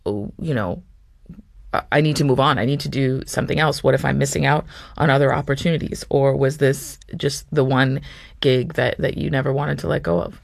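An interview host with a conversational, encouraging way of putting halting questions. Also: mid-range voice, creaky, dry recording, studio quality.

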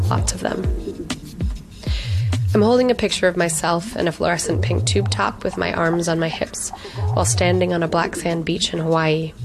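A woman narrates a personal essay in a low, gravelly voice, reflective and deliberate. Her delivery stays close and intimate, with music playing underneath.

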